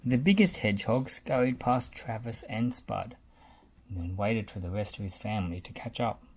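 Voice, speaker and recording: A man reading a children's storybook aloud in an expressive narrating style. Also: on the low side, normal speed, breathy edge. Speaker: storybook reader.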